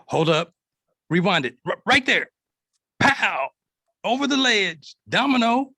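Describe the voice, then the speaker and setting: A man's voice: sits high, sing-song, takes it slowly, pushed and bright, no echo, studio quality, full character voice. A man playing young male characters in a table read of a science fiction screenplay. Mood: excited.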